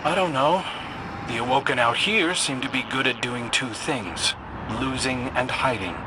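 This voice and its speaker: A man's voice: medium pitch, normal speed, noisy background, lightly acted. A man playing a cocky, independent adventurer in sardonic, casual game dialogue. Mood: wry, defiant.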